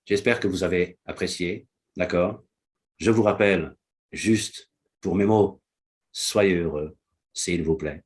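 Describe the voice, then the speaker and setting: A man's voice: low voice, takes it slowly, long pauses, slightly rough. A man whose first language is Portuguese gives a motivational online presentation to his business network, repeating key phrases and checking in with listeners often.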